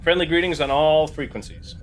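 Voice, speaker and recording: A man with a slightly high voice plays a starship officer in a tabletop role-playing game. His delivery is casual table chat, and clear noise sits behind it. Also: steady tone, a little fast, rough recording.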